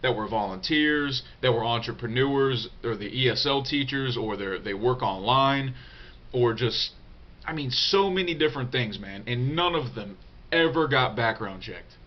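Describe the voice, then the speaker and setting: Young man, blocked-up nasal voice, casual swearing vlogger, mid pitch, dull lo-fi sound. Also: lively intonation, normal speed. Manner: loose and profane, many fillers and restarts. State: congested, nasal from a cold. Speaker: vlogger answering viewer questions. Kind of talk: casual unscripted vlog talk.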